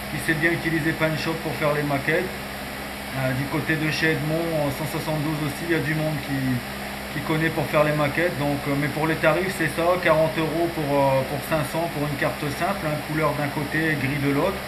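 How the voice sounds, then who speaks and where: A man's voice: fairly high pitch, little pitch movement, a little fast. A man chatting casually as an amateur radio operator during a radio contact. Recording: noisy, echoey radio contact recording.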